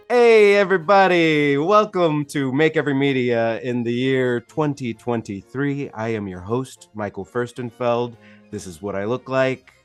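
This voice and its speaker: A man speaks as a podcast host in a mid-pitched voice. His delivery is conversational, suited to introducing guests and chatting. Faint music sits behind him.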